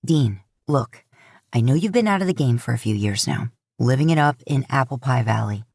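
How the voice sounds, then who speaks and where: A woman's voice: very deep voice, animated, moderate pace, vocal fry, a little mellow, no echo, studio quality, voice pushed down, exaggerated voice. A woman voicing an earnest, bookish young man who argues insistently.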